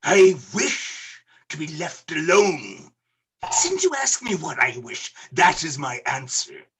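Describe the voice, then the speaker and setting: A bitter old miser in a radio drama, raspy and scornful. His high-pitched delivery swings widely and comes out slow and emphatic. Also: wildly swooping pitch, fairly bright tone, full character voice. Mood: curt, angry. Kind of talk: theatrical radio play acting.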